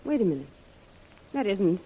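A woman's voice: mid-range voice, sing-song, normal speed, warm tone, natural voice. An actress playing an inquisitive, forthright woman adventurer in a 1930s radio serial, with dramatic delivery. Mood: curious, startled. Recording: noisy vintage radio recording.

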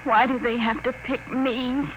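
An actress playing an anxious, hesitant young secretary newly arrived on another planet, in old-time radio drama style. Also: female voice, on the high side, steady tone, measured pace, dull audio, natural voice. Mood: anxious, bewildered. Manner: hesitant, deferential. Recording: noisy vintage radio broadcast.

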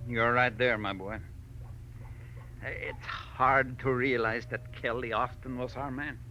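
An actor playing a kindly, rueful older man, deliberate and folksy, in a 1940s radio drama. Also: mid-range voice, fairly even intonation, sharp tone. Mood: thoughtful, curious. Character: kindly older male diamond-mine boss, rueful and fatherly. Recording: muffled, faintly noisy old radio recording.

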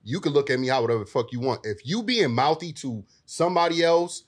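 A man's voice: medium pitch, lively intonation, fast talker. A man speaking bluntly and with profanity, often cutting in during a heated panel debate.

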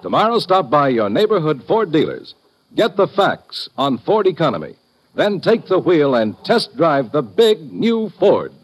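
A man's voice: a little high, sing-song, measured pace, slight background noise. An American man working as a commercial announcer, reading a 1950s radio advertisement.

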